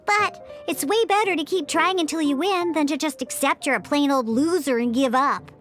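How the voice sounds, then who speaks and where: Bright, squeaky, feisty little cat-girl bartender. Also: normal speed, faint music behind. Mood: encouraging. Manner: blunt, brash, grudgingly encouraging. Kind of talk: video game character dialogue.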